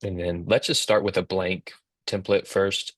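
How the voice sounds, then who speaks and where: Low, even-toned man explaining casually. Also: measured pace, dry recording. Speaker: co-host, app company team member. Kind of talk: casual instructional chat. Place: indoors on a video call, virtual background.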